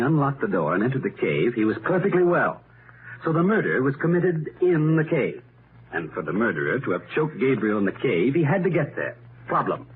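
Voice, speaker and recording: A thin, noisy vintage radio recording carries a man acting a brilliant American amateur detective. He reasons aloud step by step with emphatic confidence, his pitch rising and falling freely as he builds his case. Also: natural voice. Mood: assured, explanatory. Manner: deliberate, methodical, emphatic. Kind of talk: 1930s radio mystery acting. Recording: noisy, narrow-band 1930s radio recording.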